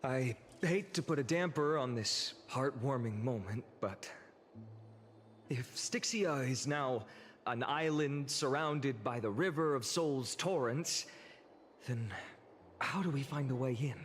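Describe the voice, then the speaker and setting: Man acting a slow-talking young adventurer, long reverb. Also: medium pitch, a little hiss or hum, natural voice. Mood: wry, doubtful. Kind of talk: video game story acting.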